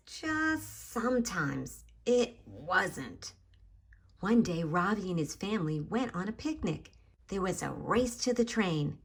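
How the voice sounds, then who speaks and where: A woman with a mid-pitched voice reads a picture book aloud to young children. Her delivery is slow and expressive, with dramatic emphasis on key words. She talks directly to her young listeners as a story time host.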